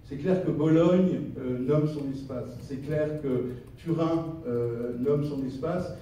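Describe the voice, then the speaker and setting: A man with a French accent from France giving a semi-improvised conference lecture, halting and searching for his words. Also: a little high, dark tone. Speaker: conference speaker, geographer. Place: conference hall. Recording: roomy echo, distant mic, faint noise.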